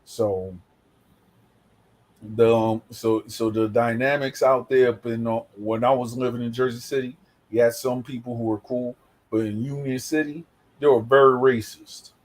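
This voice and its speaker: A man's voice: mid-range voice, fairly even intonation, normal speed, a little mellow. A man with a New York accent from the Bronx, hosting a casual chat and telling rambling stories with many fillers. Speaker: host.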